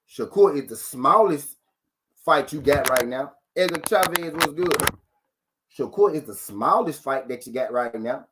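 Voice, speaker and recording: A man hosts a live boxing talk stream, his voice sitting a bit high for a man. He argues his case with rhetorical questions, repeats himself, and stops to greet viewers. A faint noise runs underneath. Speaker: boxing talk show host.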